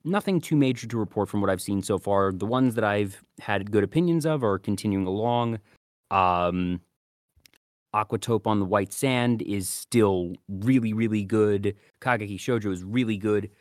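Low-voiced man hosting an anime podcast. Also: normal speed, dry recording, studio quality. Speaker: podcast host. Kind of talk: casual anime podcast commentary.

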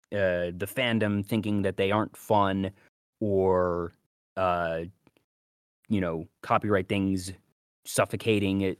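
A man's voice: low-pitched, no room sound, studio quality. A man co-hosting a podcast, talking casually about fandom in long, detailed explanatory asides. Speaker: podcast co-host.

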